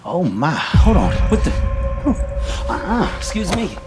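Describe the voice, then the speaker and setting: A bumbling man pretending to be a feared hitman, bluffing and talking fast. His pitch swings widely and sits a little high. A loud film score plays behind him. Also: sing-song. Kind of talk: film comedy acting. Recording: film audio under music.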